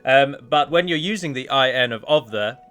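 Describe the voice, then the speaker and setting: A man with a bright, forward voice chats through a gaming let's play in a casual, self-deprecating way. His pitch sits in the middle range. He is close on the microphone, with music playing behind him. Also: expressive, normal speed, clear, resonant tone. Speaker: gaming video host and mod developer.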